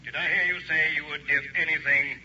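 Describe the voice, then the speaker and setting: A man playing a commanding supernatural bargainer, measured and deliberate, in an old radio drama. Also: fairly high pitch, steady tone, sharp tone. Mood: commanding, sinister. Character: sinister male supernatural bargainer, commanding, unhurried. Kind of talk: 1960s radio drama acting. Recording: muffled old radio recording, faint noise.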